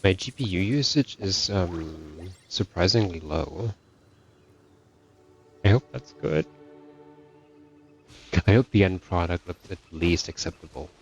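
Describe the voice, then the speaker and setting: A man's voice: low voice, expressive, slow, long pauses. A young man chatting casually as he streams a video game. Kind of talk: casual gaming livestream commentary. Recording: dull mic, game music behind. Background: faint game music.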